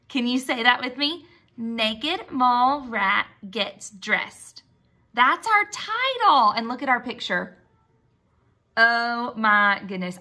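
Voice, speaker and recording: A woman teacher giving an expressive read-aloud lesson for young children, using simple words and prompting with questions. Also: on the high side.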